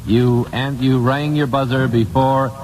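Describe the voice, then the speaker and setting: A man's voice: medium pitch, steady tone, measured pace, a little mellow, faint music behind. An American man hosting a 1950s radio quiz show, chatty and direct, on an old broadcast recording.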